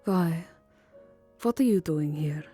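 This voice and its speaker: A woman playing a lovelorn, melancholy vampire countess in an ASMR roleplay, speaking softly, formally and hesitantly, often near a whisper. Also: sits low, takes it slowly, husky, soft background music, full character voice. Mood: tender, wistful. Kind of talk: ASMR roleplay voice acting, second-person romance.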